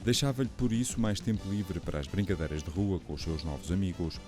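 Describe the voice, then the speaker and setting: Very deep male narrator, measured and formal, with music underneath. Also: darkish tone. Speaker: documentary narrator. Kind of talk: scripted narration.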